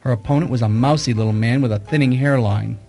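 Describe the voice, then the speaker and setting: An actor playing a wisecracking, informal private detective in a radio mystery. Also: male voice, mid-range voice, fast talker, vocal fry, warm tone, faint music behind, lightly acted. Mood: wry narration. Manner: wry, informal. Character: wisecracking private detective, uneasy among high society. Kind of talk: hard-boiled detective radio drama.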